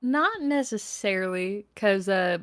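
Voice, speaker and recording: A woman's voice: mid-range voice, lively intonation, takes it slowly, no room sound, clean studio sound. A woman chatting casually as a voice actor guest, giggly, playful and blunt. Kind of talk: casual chat, answering interview questions.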